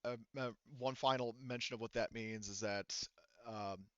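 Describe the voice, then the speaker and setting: A man narrating a tabletop roleplaying game as game master, explaining things in a halting way. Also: medium pitch, steady tone, fairly bright tone, smooth, dry recording, highs cut off.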